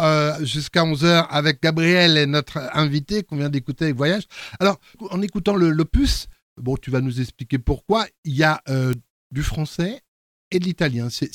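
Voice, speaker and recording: A male radio host interviewing a guest in the studio. He talks in a halting way, with frequent fillers. His voice sits a little high, and the sound is close and dry. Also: dry recording.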